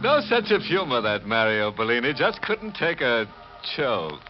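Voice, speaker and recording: An actor playing a sardonic, ghoulish, tongue-in-cheek host of a 1940s radio horror show, punning in a drawn-out way. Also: male voice, mid-range voice, huge pitch swings. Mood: wry, macabre. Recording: muffled old radio recording. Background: prominent music.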